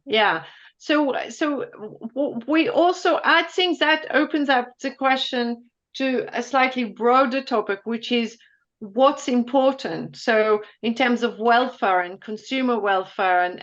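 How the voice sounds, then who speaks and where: Woman economist taking questions on an online call, explains things carefully, bit high pitched, dull audio. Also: fairly bright tone. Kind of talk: answering questions after an online presentation.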